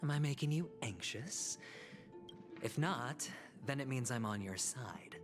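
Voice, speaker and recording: A man's voice: medium pitch, expressive, a little slow, close to an everyday voice. Guy voicing a slick smug gambler in a game, sly and theatrical, mid voice, music playing under. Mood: sly, insinuating. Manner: insinuating, theatrical, smooth-talking. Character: smug, suave male gambler negotiator, sly and provocative. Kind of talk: video game voice acting.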